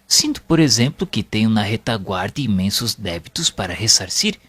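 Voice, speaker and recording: A man playing an earnest, respectful spirit pupil in an audio drama, speaking formally and deliberately. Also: on the low side, very animated, fairly bright tone, slightly rough, no echo, full character voice. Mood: earnest, inquiring. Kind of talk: audio drama, narration and questioning dialogue. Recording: music playing behind the voice.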